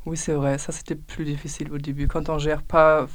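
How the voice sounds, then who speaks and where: A woman's voice: low-pitched, level tone, brisk. A woman whose first language is German, speaking French in a casual group discussion, thoughtful and hesitant, often restarting words. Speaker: discussion participant.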